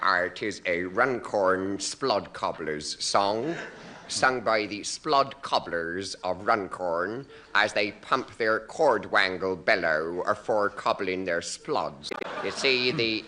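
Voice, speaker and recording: Slow-talking daft rustic folk singer. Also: male voice, on the low side, sharp tone. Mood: earnest, daft. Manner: mock-rustic dialect, earnest delivery of nonsense. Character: rambling old rustic folk singer, cheerfully daft and mock-solemn. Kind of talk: 1960s radio comedy sketch acting. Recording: noisy 1960s radio recording.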